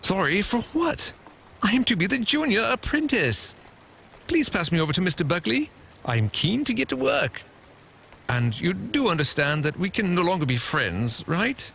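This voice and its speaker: An actor playing a naive, boastful android with a pompous, snobbish manner in a video game. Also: male voice, sits high, very animated, normal speed, creaky, fairly bright tone, a little hiss or hum, heavily acted. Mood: eager, condescending. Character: naive, boastful android head dreaming of wealth.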